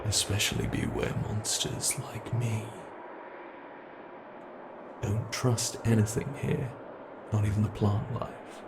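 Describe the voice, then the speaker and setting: A British man voices a predatory monster from under the bed, drawling each taunt with deliberate slowness. His voice is rough with fry, and it sinks to whispers as he toys with his prey. Faint noise hums beneath the recording. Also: on the low side. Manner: slow, taunting and playful, dropping to whispers. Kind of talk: ASMR horror roleplay.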